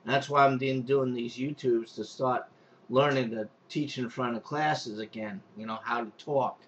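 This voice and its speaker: A man's voice: medium pitch, steady tone, normal speed, a little hiss or hum. Rambling Long Island repairman, dull lo-fi sound. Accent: Long Island New York. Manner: rambling, loose, with many restarts and fillers. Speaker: pool and spa technician. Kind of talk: unscripted how-to demonstration.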